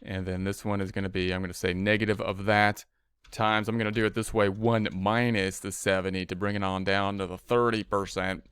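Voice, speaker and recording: A man's voice: lowish voice, little pitch movement, brisk, fairly bright tone, clear, resonant tone, no echo, clean studio sound. A man giving an informal spreadsheet tutorial as an instructor, thinking aloud step by step and often repeating himself. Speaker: accounting instructor.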